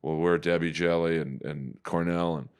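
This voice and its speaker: Deep baritone man telling stories. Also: normal speed, smooth. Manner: animated storyteller, profane. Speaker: comedian and voice actor guest. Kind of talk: casual podcast chat.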